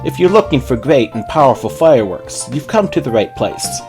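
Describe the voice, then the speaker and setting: A man's voice: medium pitch, sing-song, moderate pace, music in the background, pitched above the performer's own voice, close to an everyday voice. A man voicing a boastful female show pony in a story, a showy and dismissive saleswoman. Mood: showy sales pitch.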